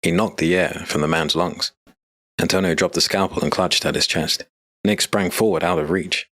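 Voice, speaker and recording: A man narrating a scripted dark fantasy story as an audiobook narrator. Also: low-pitched, steady tone, normal speed.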